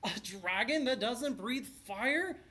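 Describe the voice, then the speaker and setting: Guy doing a pompous knight dad, loud and scornful, voice pushed up high, kids book style. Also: animated, takes it slowly, full character voice. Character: blustering, scornful armoured knight father, proud.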